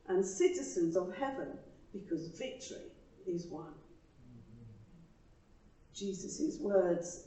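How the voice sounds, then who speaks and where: Woman reading a rhyming church poem, kinda slow and earnest, bit far from the mic, slightly muffled. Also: mid-range voice, a little mellow. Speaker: poet reading own poem to a church congregation. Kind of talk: rhymed devotional poetry recital. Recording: roomy, distant, slightly dull microphone pickup.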